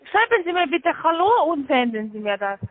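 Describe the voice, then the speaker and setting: A woman with a foreign accent, not a native German speaker, giving halting, insistent instructions as a call-centre caller. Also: high-pitched, very animated. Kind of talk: scripted phone instructions, spelling out slowly. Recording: tinny, narrow-band phone line.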